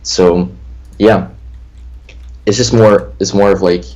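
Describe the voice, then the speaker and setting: Husky, low-voiced young man. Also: fairly even intonation, slow pace, a little mellow, dull audio. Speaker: co-host of a lucid dreaming stream. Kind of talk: casual livestream chat, reading viewer questions.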